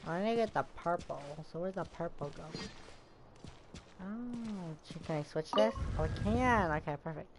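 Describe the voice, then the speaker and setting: A woman's voice: lowish voice, lively intonation, moderate pace, noisy background. A woman giving casual gameplay commentary, muttering to herself as she plays, with game music behind her. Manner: muttering to self while playing. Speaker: game streamer. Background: loud video game music.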